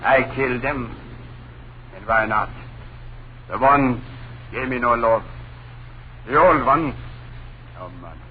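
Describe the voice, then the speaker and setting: An actor playing a bitter, menacing old man who has murdered, with slow, brooding, repetitive delivery in an old-time radio horror play. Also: mid-range voice. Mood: bitter, frenzied confession. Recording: scratchy, tinny old radio broadcast, heavy noise.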